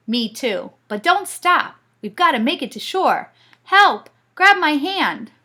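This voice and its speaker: A woman playing an excitable young storybook boy, high-pitched. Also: slow, exaggerated voice. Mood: urgent. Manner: eager, exclamatory, bossy in play. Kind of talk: children's storybook character voice.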